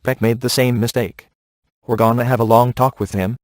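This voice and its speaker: Smug, sarcastic male ghost hunter played by a male actor for a comedy video game; deadpan wisecracks, very dry studio sound. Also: mid-range voice, normal speed, dry recording, lightly acted. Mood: sardonic.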